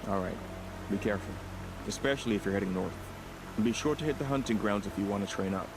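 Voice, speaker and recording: Give the part of a failed young hunter, played by a man: low-pitched and gravelly, halting and self-deprecating, as in a video game. Clear ambient noise sits behind the voice. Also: natural voice. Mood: embarrassed, resigned.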